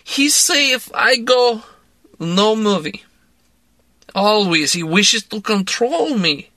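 A man reading an audiobook voices a drunk young Russian actress with a Russian accent, speaking slow broken English in a dramatic, moody way. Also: sits high, animated, pushed and bright, dry recording, pitched above the performer's own voice, heavily acted. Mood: bitter. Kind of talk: audiobook character voice.

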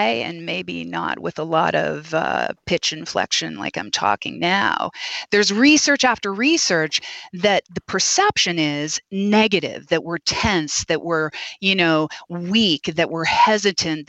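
A woman voice coach speaks in a slow, deliberate way, full of demonstrations. Her voice sits at a middle pitch. The sound is dry and close. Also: dry recording. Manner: demonstrative, animated explaining. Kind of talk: podcast interview guest.

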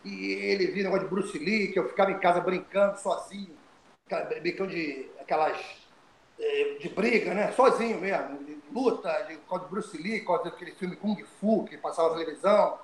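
An older man from Brazil talks as an interview guest in a voice pitched high for a man. He is animated, repeats words and restarts his sentences. The sound carries an echo from the room. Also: a little fast, a little hiss or hum. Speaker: guest, retired fighter. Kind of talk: storytelling interview.

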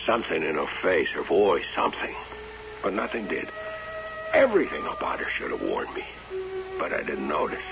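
Harried, gravelly radio-drama showman. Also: a bass voice, wildly swooping pitch, normal speed, long pauses, noisy background, full character voice. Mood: rueful narration. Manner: intense, curt, theatrical. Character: harried, irritable showman husband, telling his own downfall. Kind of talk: old-time radio drama acting and first-person narration. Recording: muffled old radio broadcast.

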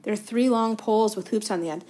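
A woman's voice: medium pitch, quick pace, mellow, close to an everyday voice. A woman voicing a tired teenage boy wizard who explains things in a weary, resigned way.

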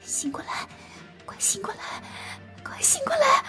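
A woman's voice: measured pace, sharp tone, soft background music. A woman acting an emotional, desperate young man in an audio drama, pleading. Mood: urgent pleading. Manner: emotional, crying and whispering pleas. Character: panicked, tearful young man called Gao Dapang, desperately pleading.

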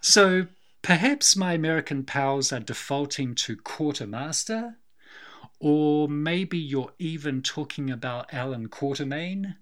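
A man with a New Zealand accent, co-hosting a film podcast in a wry, measured, slightly theatrical way. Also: fairly high pitch, animated, fairly bright tone. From Scotland. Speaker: podcast co-host. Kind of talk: casual film discussion.

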